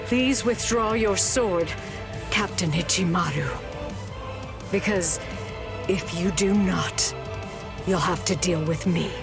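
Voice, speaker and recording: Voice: mid-range voice, slow pace, creaky, pauses often. An actor voicing a dutiful, resolute young officer in an anime game dub, formal and firm. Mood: firm, apologetic. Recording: music behind voice.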